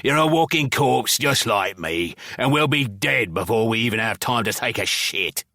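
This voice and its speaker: A man voicing a scrappy male goblin convict, hoarse, crude and mocking. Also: medium pitch, animated, moderate pace, pushed and bright, no room sound, studio quality, pitched above the performer's own voice. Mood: hissing.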